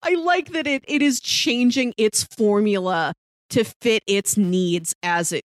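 A woman's voice: medium pitch, measured pace, no echo, clean studio sound. A woman co-hosting a podcast, discussing TV casually and expressively, acting out imagined quotes as she goes. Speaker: podcast co-host.

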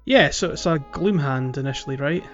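A man's voice: a little high, a little fast, over music. Hesitant man on slightly muffled audio. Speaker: podcast co-host. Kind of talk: casual video game discussion.

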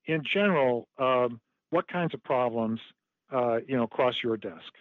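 A man's voice: medium pitch, measured pace, no echo. A man interviewing a colleague, asking friendly, lightly joking questions in conversational style. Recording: tinny phone-like line.

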